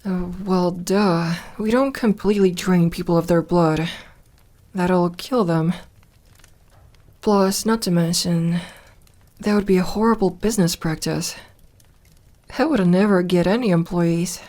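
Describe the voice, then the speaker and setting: A woman playing a lonely, lovelorn vampire in an intimate ASMR audio roleplay, gentle and wry, speaking softly with hesitant pauses. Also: lowish voice, steady tone, close to an everyday voice. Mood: wry, reassuring. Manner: hesitant, soft-spoken, slow with pauses.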